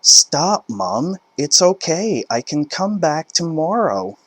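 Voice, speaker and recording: A man's voice: a little high, big pitch swings, slow, fairly bright tone, dry recording, pitched above the performer's own voice, heavily acted. A man doing a pleading teenage daughter. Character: embarrassed young adult daughter, placating.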